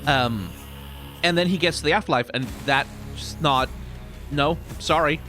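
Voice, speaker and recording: A man giving casual, off-the-cuff reaction commentary in an animated, colloquial, sardonic way with some swearing. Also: a little high, unhurried, music in the background. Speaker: reaction video host, commentator.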